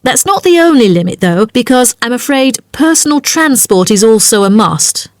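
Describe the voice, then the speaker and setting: A woman playing a helpful, businesslike job agency adviser in a scripted listening-exercise dialogue, explaining things clearly. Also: on the high side, very animated, moderate pace, dry recording, studio quality.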